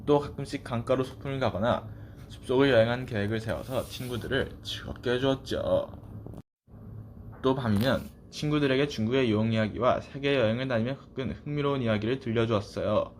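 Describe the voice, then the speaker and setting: A man's voice: medium pitch, fairly quick. Male storyteller, mid-range voice, children's picture-book narration, some background noise. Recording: clearly noisy background.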